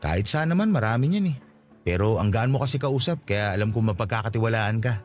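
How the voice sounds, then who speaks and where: An actor as an earnest Filipino man in his late twenties, fresh from the province. He talks fast with lively swings in pitch, humble and full of flattery, in a conversational radio drama. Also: soft background music, natural voice. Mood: earnest, flattering.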